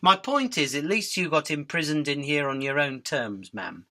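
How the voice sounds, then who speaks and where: A cheeky male fly from a radio drama, acted by a man, with a highish, very bright, forceful voice. He wheedles and rambles, mixing grovelling politeness with impudent bargaining. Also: animated, moderate pace. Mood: chatty, storytelling. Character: cheeky, pleading, fast-talking male fly caught by a spider. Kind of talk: illustrated radio drama acting.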